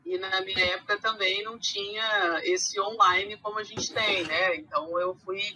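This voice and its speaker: A woman from Rio de Janeiro with a mixed Brazilian accent, carioca with traces of Minas, chatting as a podcast guest with many pauses and fillers. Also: mid-range voice, steady tone, sharp tone, slight background noise, rough recording. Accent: mixed Brazilian Portuguese, carioca with Minas traces. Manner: hesitant, many fillers and restarts. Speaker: guest, animal science teacher. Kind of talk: casual podcast conversation.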